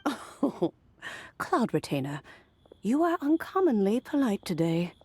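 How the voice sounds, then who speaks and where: A woman voicing a wise, ancient and serene elderly immortal in a video game, talking in a measured, reflective storytelling way. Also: medium pitch, big pitch swings, a little mellow, breathy edge, heavily acted. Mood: amused, reminiscing. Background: music.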